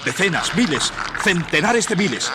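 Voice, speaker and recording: A man's voice: sits high, big pitch swings, moderate pace, very noisy. A man narrating a suspense radio play in a dramatic, scripted style.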